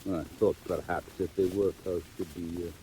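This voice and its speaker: Actor as elderly British villain: low, wheedling, falsely polite, hesitant; vintage radio-drama style with some surface noise. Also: male voice, flat delivery, normal speed, mellow, close to an everyday voice. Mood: ingratiating. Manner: oily, hesitant, chuckling, mock-courteous. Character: sly, wheedling old male crook running a boy gang. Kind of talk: 1940s dramatised audio acting. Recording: noisy vintage 1940s record.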